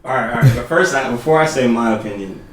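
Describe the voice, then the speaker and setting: Give me a calm, thoughtful man on a podcast, sounding a bit far from the mic with faint noise behind. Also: medium pitch, fast, roomy sound. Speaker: podcast co-host. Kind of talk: casual discussion, steering the talk.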